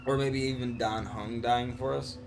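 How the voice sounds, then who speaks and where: Man, casual live stream talk, informal, little pitch movement, game soundtrack underneath. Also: fairly even intonation, moderate pace, creaky. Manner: informal, rambling, slangy. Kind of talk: casual live stream chat recapping and theorizing. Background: game music.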